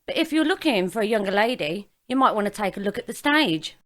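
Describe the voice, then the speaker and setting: An actress playing a brassy, sharp-tongued Victorian tavern madam in a stage drama, bossy and brash. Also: female voice, mid-range voice, lively intonation, brisk, a little hiss or hum, natural voice. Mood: sly. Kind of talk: theatrical stage drama acting.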